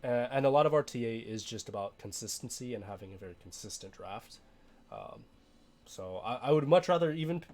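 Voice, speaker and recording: A young man, a little hoarse from being sick, talking casually through his game roster with frequent fillers and restarts. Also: medium pitch, a little mellow. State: hoarse from illness. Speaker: game streamer.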